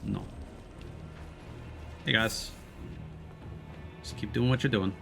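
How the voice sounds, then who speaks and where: A man's voice: mid-range voice, slow pace, vocal fry. A man giving casual live gameplay commentary as a streamer, with wry, playful asides as he narrates what he is doing. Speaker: video game streamer. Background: music behind the voice.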